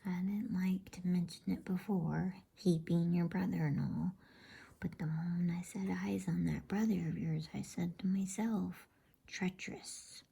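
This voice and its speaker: A woman voicing a grave, knowing male beaver from a bedtime storybook in a breathy whisper. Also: on the low side, steady tone, measured pace, warm tone, full character voice. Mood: grave, suspicious.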